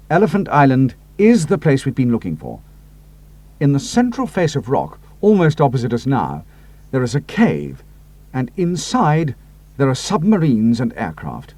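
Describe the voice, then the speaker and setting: A man voicing a decisive air ace with a crisp, clipped and authoritative manner. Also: mid-range voice, darkish tone. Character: commanding British air ace, decisive and brisk.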